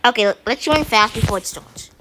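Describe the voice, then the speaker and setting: A woman chatting excitedly through casual gameplay commentary, with sudden squeals. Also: fairly high pitch, normal speed, rough recording. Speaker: gamer. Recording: game music behind. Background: game music.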